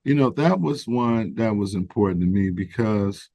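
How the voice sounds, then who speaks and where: A man speaking as an interview guest, explaining his ideas in an earnest, measured way, correcting himself as he goes. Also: on the low side, animated, darkish tone, no room sound. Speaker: guest, founder of a recovery organization. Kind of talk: conversational interview.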